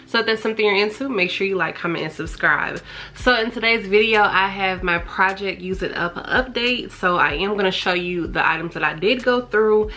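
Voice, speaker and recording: A woman in her thirties talks straight to camera, her voice congested and a touch raspy from a cold. She chats eagerly about scents and lotions she has used up, recorded close on a compact camera's built-in mic in a small bedroom. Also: medium pitch, normal speed, pushed and bright. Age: 30s. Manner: chatty, enthusiastic about scents. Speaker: beauty and fragrance vlogger. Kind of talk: casual product review to camera. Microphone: compact camera's built-in mic at close range.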